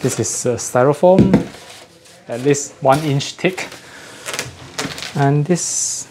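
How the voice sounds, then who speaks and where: A man giving a casual unboxing chat as a host, often pausing to rephrase himself. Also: on the high side, very noisy. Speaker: host of an audio gear channel.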